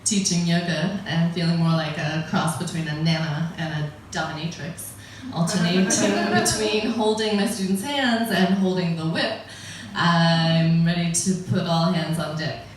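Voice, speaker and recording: A woman's voice: lowish voice, steady tone, a little slow, few pauses, a little hiss or hum. Wry American woman doing stand-up. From United States. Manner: wry, chatty, laughs at her own jokes. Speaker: stand-up comedian. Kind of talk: stand-up comedy set. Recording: echoey room, voice from a distance.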